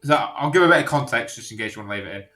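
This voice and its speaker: A man's voice: mid-range voice, expressive, very fast, sharp tone. A man chatting informally as a podcast guest, telling stories in a sweary, casual way. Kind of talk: casual anecdote-telling chat.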